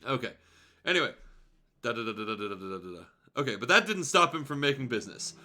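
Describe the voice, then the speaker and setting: Animated bright-voiced man streaming. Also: mid-range voice, fast talker, soft background music. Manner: animated, amused, profane. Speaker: streamer hosting a fanfiction reading. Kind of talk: livestream read-aloud with casual asides.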